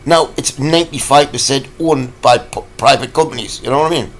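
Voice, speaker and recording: A man, croaky from a cold, ranting in a rambling, halting vlog full of fillers. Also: mid-range voice. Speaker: vlogger.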